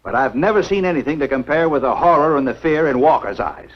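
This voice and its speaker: An actor playing a grave, reasoning small-town American doctor with a husky voice in a 1950s horror film. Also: male voice, a little high, expressive, quick pace. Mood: grave, insistent. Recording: dull 1950s film soundtrack.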